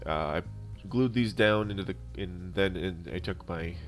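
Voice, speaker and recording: Deep-voiced man, halting DIY narration. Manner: halting, unscripted explaining. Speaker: DIY prop-making video host. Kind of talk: casual tutorial voice-over narration. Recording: instrumental music behind the voice. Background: music.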